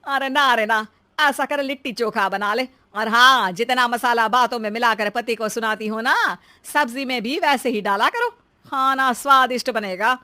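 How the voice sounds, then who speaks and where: Woman doing a bossy, bright-voiced older mother-in-law. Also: fairly high pitch, moderate pace, no room sound. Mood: bossy, sly. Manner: bossy, wheedling. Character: demanding, sly older mother-in-law in cartoon story.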